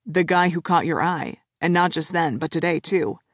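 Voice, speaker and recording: A woman voicing a cheerful, easygoing young man playing matchmaker, in a slightly lower, relaxed tone. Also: normal speed, dry recording, clean studio sound, natural voice. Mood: amused.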